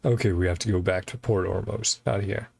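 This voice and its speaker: A man giving casual gameplay commentary as a streamer, hesitant and thinking out loud. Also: lowish voice, fairly even intonation, fairly quick, gravelly, background noise. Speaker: game streamer.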